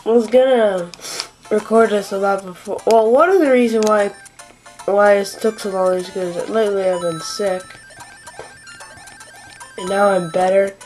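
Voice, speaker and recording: A young woman giving casual, rambling commentary while playing a game at home, sniffly from allergies, with lots of fillers and quiet asides. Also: mid-range voice, unhurried, long pauses, soft background music, low-quality recording. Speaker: gameplay commentator. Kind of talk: casual let's-play commentary. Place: home room at a desk.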